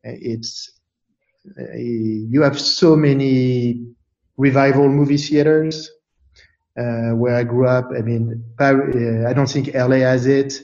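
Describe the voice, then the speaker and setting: A man from France, speaking as an interview guest in a thoughtful, halting way with many fillers and restarts. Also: medium pitch, steady tone, darkish tone, reverberant, lo-fi sound. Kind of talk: casual interview chat.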